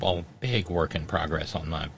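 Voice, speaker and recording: A man chatting casually on a radio show, who repeats himself and restarts halting phrases. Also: deep voice, breathy edge.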